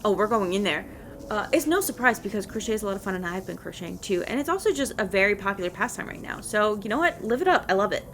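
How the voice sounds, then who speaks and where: A woman hosting casual gameplay commentary in an excitable, playful manner. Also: medium pitch, fast talker, a little hiss or hum. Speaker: gaming video host.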